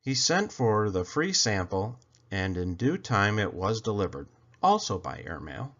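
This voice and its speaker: A man's voice: fairly low pitch, sing-song, a little slow, fairly bright tone, highs cut off. A man reading a children's story aloud expressively, leaning dramatically on key words. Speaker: storybook reader.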